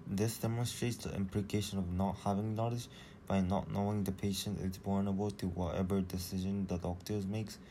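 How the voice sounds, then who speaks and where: A man's voice: sits low, flat delivery, a little fast, mellow, slight background noise. A teenage boy from Japan, a native Japanese speaker, giving a school presentation in a hesitant way, with many fillers and restarts. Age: teens. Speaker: student presenter.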